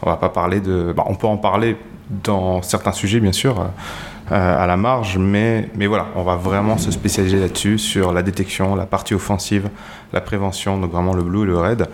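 A man who is a podcast guest, speaking in a halting way with many fillers. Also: low-pitched, fairly even intonation, slight background noise. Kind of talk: casual podcast discussion.

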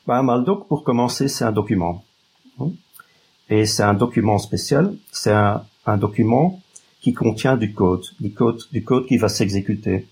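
A man from Flanders explains things as a guest expert, with a Flemish accent from his native Dutch. His voice is fairly low and husky, and he feels his way through sentences. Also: moderate pace, darkish tone. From Flanders, Belgium. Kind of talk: explanatory interview talk.

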